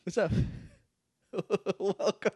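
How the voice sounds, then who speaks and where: A man co-hosting a casual podcast, chatty and quick to laugh, with a slightly nasal voice. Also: high voice, slightly rough, no echo, studio quality. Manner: chatty, laughs often, animated. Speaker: podcast co-host. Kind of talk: casual chat.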